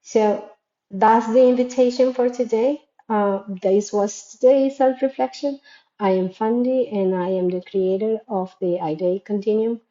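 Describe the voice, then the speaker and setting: A woman's voice: medium pitch, mellow, reverberant, dull audio. A woman whose first language is Spanish, from Latin America, an educator giving a thoughtful, earnest reflective monologue that poses questions to listeners. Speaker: educator and creator of a school framework.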